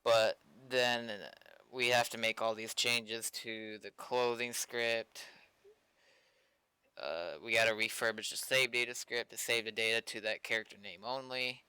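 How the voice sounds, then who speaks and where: Guy streaming a game, thinking out loud, really crisp clean voice, super dry close mic. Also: medium pitch, steady tone, unhurried, no echo. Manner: rambling, thinking aloud. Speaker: game server developer and streamer. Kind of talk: casual gaming livestream chat.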